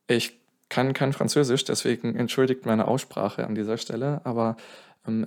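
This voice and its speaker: A man telling a song's history in casual podcast talk, often correcting himself mid-sentence. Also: mid-range voice. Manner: explains at length, self-correcting, thinking aloud. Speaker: podcast co-host.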